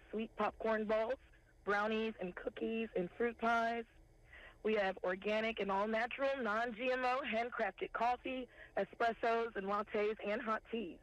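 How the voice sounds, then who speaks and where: A woman, a farmers market director calling in to a morning news show and listing products in a steady, unhurried way. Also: on the high side. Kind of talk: phone interview, listing products. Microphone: telephone. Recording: tinny phone line.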